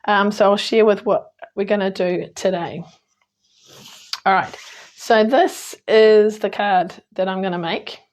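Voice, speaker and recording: A woman with a New Zealand accent, hoarse today, chatting through a card-making tutorial with frequent ums and small self-corrections. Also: mid-range voice, measured pace, darkish tone. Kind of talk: casual crafting tutorial.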